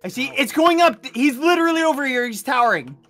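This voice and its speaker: Excited man calling out game moves. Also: extremely high pitch, fast talker. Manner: excited, calls out directions. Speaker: gamer. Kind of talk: casual gameplay chatter. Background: background music.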